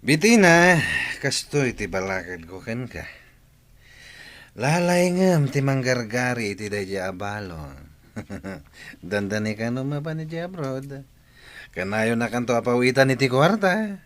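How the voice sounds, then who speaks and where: A man's voice: medium pitch, sing-song, a little slow, a bit bright. A man playing a cocky, wheedling deadbeat father in a radio drama, teasing and drawling as he talks. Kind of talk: Ilocano radio drama acting.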